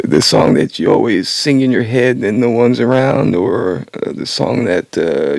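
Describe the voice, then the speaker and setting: A man in his sixties talking about learning to sing in his retirement, raspy and a little congested, halting with many pauses and small laughs. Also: lowish voice, a little mellow, dry recording. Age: 60s. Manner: halting, many uhs and restarts, laughs to himself. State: nasal congestion. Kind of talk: casual rambling monologue. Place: home, early morning.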